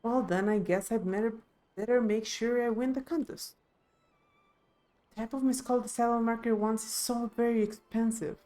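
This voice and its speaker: A woman's voice: on the high side, steady tone, normal speed, dark tone, lightly acted. Woman voicing an earnest, determined young heir. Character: earnest young female claimant to a throne.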